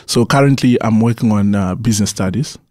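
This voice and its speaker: A young man from Zimbabwe speaks in a fairly low voice as a radio studio guest. He answers earnestly, repeating and restarting his words. The sound is dry and close. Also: a little fast, darkish tone, no room sound. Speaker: guest musician.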